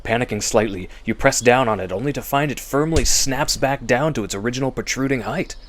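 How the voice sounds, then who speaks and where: A man's voice: medium pitch, fairly quick, fairly bright tone, slight background noise. A man delivering suspenseful, theatrical scripted narration as the storyteller of a horror audio drama.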